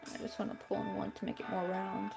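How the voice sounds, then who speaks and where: A woman's voice: medium pitch, flat delivery, quick pace, vocal fry. A young woman with a young-sounding voice, chatting casually and muttering to herself as she crochets. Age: young adult. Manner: chatty, talks to herself while working, mutters frustrations. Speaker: crochet channel host. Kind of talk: casual chat while crafting. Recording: music playing underneath.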